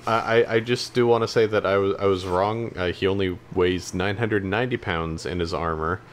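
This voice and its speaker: Low-voiced man in casual chat. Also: a little fast, a little hiss or hum. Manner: hesitant, hedging, backtracking. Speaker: podcast co-host. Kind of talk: casual chat about games and films.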